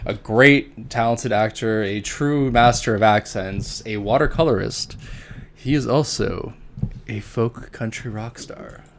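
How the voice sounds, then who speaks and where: A man co-hosting a casual podcast, mocking his subject with a deadpan delivery. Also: fairly low pitch, a little slow, slight background noise. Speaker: podcast co-host. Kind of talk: casual chat.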